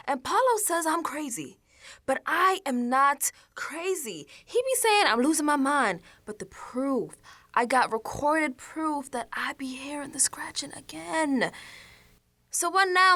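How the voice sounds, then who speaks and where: A woman's voice: high voice, dry recording, exaggerated voice. An actress playing an exasperated, sassy young American woman in a colloquial radio drama monologue, slangy and confessional. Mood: fed up. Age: young adult. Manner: slangy, sassy, animated, sighing asides.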